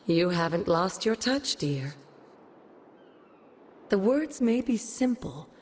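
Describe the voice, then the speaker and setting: A woman's voice: on the low side, a little mellow, a little hiss or hum. Playful ghostly old witch mentor. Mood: instructive. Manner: doting, teasing, unhurried. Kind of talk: video game cutscene acting. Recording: music behind the voice.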